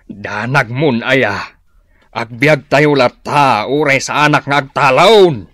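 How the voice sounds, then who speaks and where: A man's voice: medium pitch, slow pace, fairly bright tone, no echo, studio quality. A man playing a skeptical, easygoing village husband with an Ilocano accent, casual and conversational in a radio comedy-drama.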